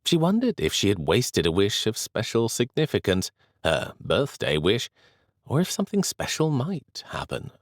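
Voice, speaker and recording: Male narrator for a historical novel audiobook, low voice with lively intonation, dry studio sound. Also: dry recording. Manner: measured, expressive storytelling.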